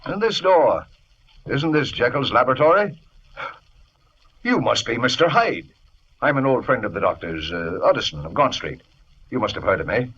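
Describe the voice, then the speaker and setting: A proper, kindly Victorian gentleman of old radio drama. His mid-pitched voice swings expressively, avuncular and quick to exclaim, over a muffled, noisy recording. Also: big pitch swings, husky, exaggerated voice. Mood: indignant. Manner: hesitant exclamations, avuncular, indignant.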